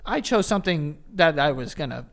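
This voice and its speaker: Animated man in his thirties, joking. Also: a little high, a little fast, creaky. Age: 30s. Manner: animated, laughing, jokey. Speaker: podcast co-host. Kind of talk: casual crude banter.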